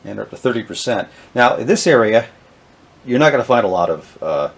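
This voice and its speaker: A middle-aged man, talking casually and haltingly with many fillers as he shows off old electronics. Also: medium pitch, very animated, slightly rough. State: has a cold, sniffly. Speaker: vintage electronics video host. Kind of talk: casual show-and-tell narration.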